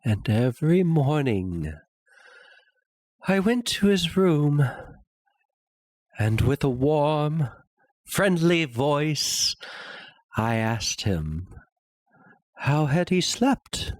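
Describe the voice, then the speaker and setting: A man voicing an obsessed madman who insists he is sane, in a theatrical, halting dramatic horror reading with a breathy, husky voice. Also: fairly high pitch, sing-song, dry recording, pitched above the performer's own voice, exaggerated voice. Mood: sly. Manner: halting, theatrical, with long pauses and sudden swells. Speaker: narrator.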